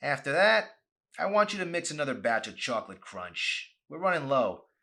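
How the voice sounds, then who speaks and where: A man's voice: a little high, big pitch swings, moderate pace, pushed and bright, voice pushed up, exaggerated voice. A man voicing a mean, bossy shop manager in an audiobook, demanding and overbearing. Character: mean, bossy ice cream parlor boss.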